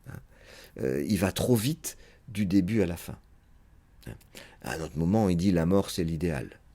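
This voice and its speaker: Brief: male psychoanalyst, very low husky voice, muses aloud through a lecture with many pauses and fillers. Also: animated, mellow. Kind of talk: unscripted spoken lecture.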